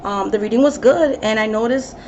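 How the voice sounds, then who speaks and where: A woman's voice: fairly high pitch, fairly quick, a little mellow, slight background noise. A woman tarot reader in her thirties talking casually to camera, chatty, with many ums and restarts. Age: 30s. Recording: slightly dull recording with faint ambience.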